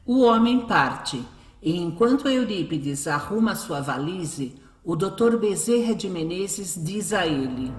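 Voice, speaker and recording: A woman's voice: lowish voice, animated, slow. A Brazilian woman narrating a scripted radio drama. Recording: echoey, faint music behind.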